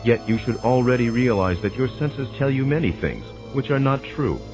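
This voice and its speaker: A man narrates a scripted, dramatized spiritual teaching in a formal, measured, didactic way. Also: medium pitch, lively intonation, rough recording. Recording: voice over prominent music. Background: music.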